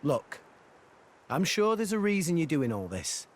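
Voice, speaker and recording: A man's voice: on the high side, very animated, measured pace. An actor playing a plucky, earnest working teenage boy in a video game cutscene.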